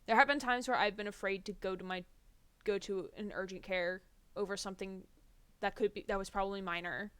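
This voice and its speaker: A woman's voice: medium pitch, steady tone, brisk, fairly bright tone, smooth, no echo. A young woman from New Jersey, a streamer chatting casually and rambling while she games. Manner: chatty, rambling, many fillers. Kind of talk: casual chat while gaming.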